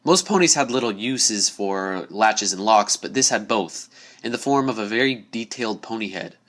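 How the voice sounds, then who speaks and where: A man's voice: fairly low pitch, expressive, moderate pace, a bit bright. A man giving a dramatic scripted narration of a spooky story. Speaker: story reader.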